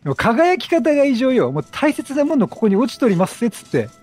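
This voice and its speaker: Male let's player: husky, high for a man, swooping, chatty slangy reactions. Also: big pitch swings, fast talker, a little mellow, faint music behind. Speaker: let's play commentator. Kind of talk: excitable game commentary.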